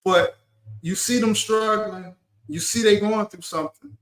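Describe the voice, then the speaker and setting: A man's voice: high-pitched, measured pace. Earnest middle-aged man, echoey room. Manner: earnest, urging, repeats and restarts words. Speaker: live stream host. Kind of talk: casual live stream talk.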